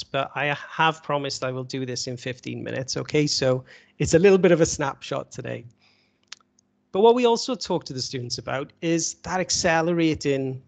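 A man's voice: medium pitch, creaky. A man lecturing at an online webinar, explaining conversationally with rhetorical questions over a video call. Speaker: university lecturer presenting at a webinar. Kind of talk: informal slide-led lecture. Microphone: video call microphone.

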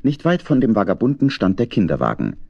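A man narrating a children's story in an expressive, scripted storytelling style. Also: fairly low pitch, fairly quick, vocal fry, slightly rough, dry recording. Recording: dry, slightly dull studio recording.

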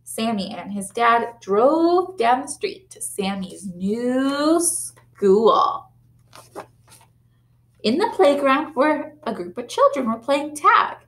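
A young woman teacher reading a picture book aloud to children, animated and playful, with big gasps and leaps in pitch. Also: slow pace. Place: small classroom, indoors. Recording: echoey classroom.

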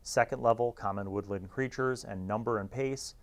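A man's voice: on the low side, moderate pace. A man in his forties hosting a casual review, talking conversationally with emphatic asides into a lapel mic. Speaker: host of a tabletop gaming review series. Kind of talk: casual review and commentary on a magazine.

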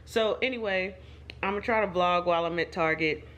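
A woman's voice: fairly low pitch, a little fast, a bit bright. A woman, chatting casually to her own camera, rambling and joking about her day. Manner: chatty, rambling, self-deprecating asides. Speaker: vlogger. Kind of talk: casual vlog talking to camera. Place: home, then in her car. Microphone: phone or camera, self-filmed.

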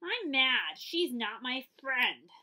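Young boy rabbit for a storybook, read by a woman: bright, cross, sensitive, deliberate. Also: high voice, sing-song, voice pushed up, full character voice. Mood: angry.

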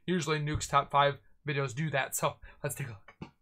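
A young man hosting a reaction video, chatting excitedly while sick with a scratchy voice, on a headset in a small gaming room. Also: fairly high pitch, moderate pace. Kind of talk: casual reaction commentary.

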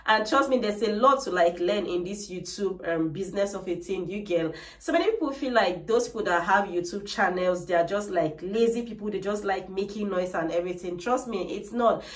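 A woman's voice: mid-range voice, a little fast, few pauses. Woman vlogger, 30s, casual chatty talk to camera, slightly distant and echoey camera audio. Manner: chatty, frequent "like" and "trust me". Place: bright decorated room indoors. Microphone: camera mic, no microphone. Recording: echoey room, voice a little distant.

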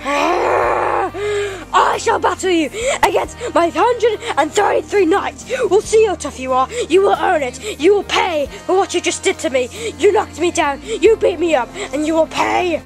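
A woman's voice: very high-pitched, a little slow, gravelly, hardly any pauses, music in the background, exaggerated voice, rough recording. A woman playing a haughty, battle-ready ruler who threatens and commands knights in an amateur fan drama. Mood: imperious, angry.

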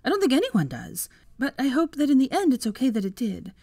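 A woman's voice: sits high, sing-song, brisk, mellow, breathy edge, dry recording, pitched above the performer's own voice, full character voice. A woman voicing a loyal, consoling female friend in a story, speaking earnestly.

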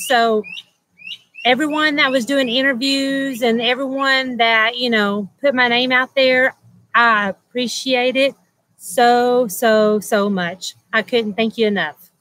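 A woman's voice: fairly high pitch, steady tone, slow pace, fairly bright tone, a little hiss or hum. A woman, hosting a casual livestream outdoors, reading viewer comments aloud, laughing often and filling with ums. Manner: chatty, laughs often, many ums and restarts. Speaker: livestream host. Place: outdoors by a house wall.

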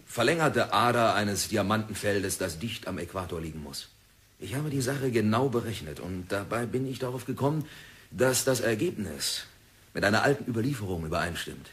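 An actor playing a stubborn, zealous young geologist in a German radio play, speaking earnestly and insistently, with deference to a superior. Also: male voice, on the low side, sing-song, moderate pace, fairly bright tone. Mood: earnest conviction. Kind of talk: German radio drama acting.

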